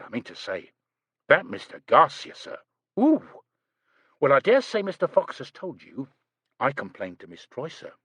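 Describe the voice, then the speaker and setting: A man's voice: medium pitch, fairly bright tone, slightly rough, dry recording, full character voice. A male narrator voicing a nervous, chatty young English housemaid who is prim and says sir constantly.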